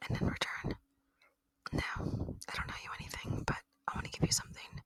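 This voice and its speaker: An ASMR performer voicing a gentle, lonely ancient alien in a breathy whisper, speaking tenderly and reflectively in a roleplay. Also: fairly quick, dry recording, close to an everyday voice. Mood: quiet, reflective.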